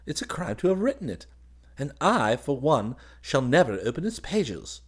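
A man's voice: mid-range voice, very animated, moderate pace, breathy edge, voice pushed up. A man voicing a boyish, happy young army officer in a story, with eager, exclamatory chatter. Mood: vehement. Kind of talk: dramatised audiobook reading.

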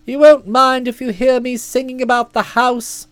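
A woman voicing a hearty, bossy middle-aged aunt who talks in a loud, breezy, emphatic way. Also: high voice, level tone, moderate pace, no room sound, natural voice. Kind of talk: audiobook character voice.

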